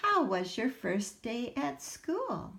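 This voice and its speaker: A woman voicing a fond, gentle mother in a storybook read-aloud. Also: fairly high pitch, sing-song, takes it slowly, creaky, pitched above the performer's own voice, exaggerated voice.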